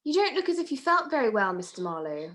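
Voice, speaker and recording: Woman acting a coy, high-voiced evasive lady. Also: very animated, quick pace, a bit bright, exaggerated voice. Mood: evasive, falsely innocent. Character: evasive, politely fluttery married woman, feigning innocence. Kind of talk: 1940s detective radio play acting.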